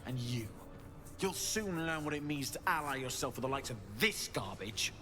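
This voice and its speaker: An actor playing a scheming false paladin in a video game, with a theatrical, declamatory delivery. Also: male voice, medium pitch, expressive, moderate pace, big-room sound, natural voice. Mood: menacing. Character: false paladin, pleading then menacing villain. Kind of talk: dramatic video game acting. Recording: music behind the voice. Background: loud game music.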